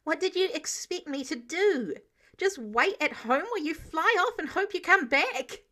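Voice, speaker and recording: A woman's voice: very high-pitched, lively intonation, moderate pace, bright, forceful tone, pitched above the performer's own voice, heavily acted. A woman voicing a stubborn, earnest teenage boy who argues his case in an audio story. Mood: upset, pleading. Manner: earnest, argumentative, cheeky.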